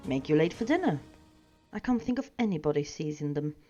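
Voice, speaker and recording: A woman voicing a fussy, polite and excitable male hobbit homebody in a storybook reading. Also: low-pitched, a little fast. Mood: disapproving. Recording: dull sound with music behind.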